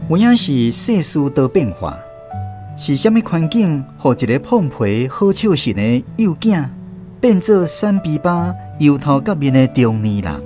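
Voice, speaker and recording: A man with a Taiwanese accent hosting and narrating a scripted radio programme in an expressive storytelling manner. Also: fairly high pitch.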